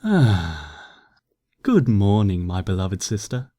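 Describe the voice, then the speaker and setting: A regal sun princess pony with a soft, affectionate way of speaking, as a man reads her. This is a gentle storybook reading. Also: on the low side, sing-song, takes it slowly, voice pushed down, full character voice.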